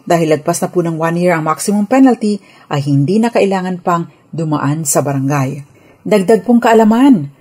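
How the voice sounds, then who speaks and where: A woman with a Filipino accent giving a scripted legal explainer on radio as a lawyer, formal, measured and instructive. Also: lowish voice, warm tone, husky.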